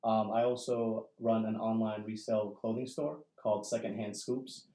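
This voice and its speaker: A young man from Indonesia, a native Indonesian speaker, chatting casually as a podcast guest in an animated, slangy way. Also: lowish voice, moderate pace, roomy sound. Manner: animated, slangy, acts out his own reactions. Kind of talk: casual chat.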